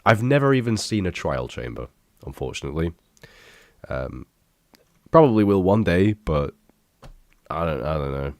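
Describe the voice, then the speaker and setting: A young man with an extremely deep voice, thick and stuffy from a cold. He rambles casually and pokes fun at himself, dropping the odd swear word. The sound is dry and close, with no room echo. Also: sing-song, moderate pace, long pauses. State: congested, bunged up from illness. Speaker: youtuber reacting to his old videos. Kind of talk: casual reaction commentary.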